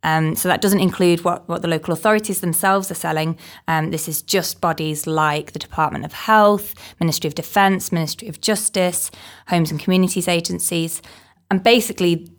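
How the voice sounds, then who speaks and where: A woman walks through research findings in a calm, explanatory manner on a discussion panel. Her voice sits on the low side, with a steady gravelly fry running through it. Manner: measured, explanatory.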